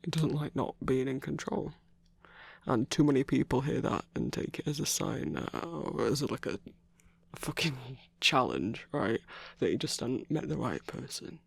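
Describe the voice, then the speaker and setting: Male actor as gruff werewolf boyfriend, heavy vocal fry, husky and breathy, halting sweary murmur, intimate ASMR roleplay. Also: mid-range voice, expressive, no echo. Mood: earnest, hesitant. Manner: halting, sweary, hushed rambling with sighs.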